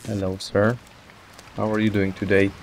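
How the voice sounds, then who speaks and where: A man's voice: on the low side, monotone, moderate pace, slight background noise. A man giving casual let's play commentary as he plays a video game. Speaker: gamer.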